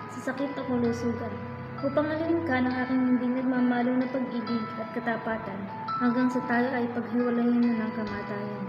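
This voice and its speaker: A woman's voice: a little high, close to an everyday voice. A woman playing a solemn, devoted figure in an amateur historical radio drama, with formal, declaimed delivery. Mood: loving, solemn. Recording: muffled, echoey reverberant hall, music behind.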